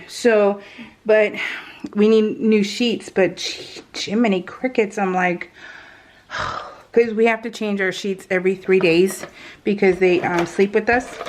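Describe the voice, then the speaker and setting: A woman with a mid-pitched voice talks casually while she crafts. She is chatty and giggly, drifting from thought to thought and often interrupting herself, in the relaxed style of a real-time hobby vlog. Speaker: craft vlogger.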